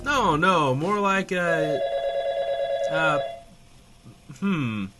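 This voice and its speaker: A man's voice: a little high, lively intonation, extremely slow, loud music behind the voice. Irreverent, swooping-voiced man as a flustered radio host. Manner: sarcastic, hedging, profane. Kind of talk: comedic audio drama, bantering dialogue.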